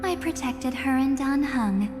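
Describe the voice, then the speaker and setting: A woman voicing a composed, enigmatic guardian in a video game, speaking in a measured, cryptic, formal way. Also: sits high, animated. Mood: solemn, resolute. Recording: long reverb, music behind.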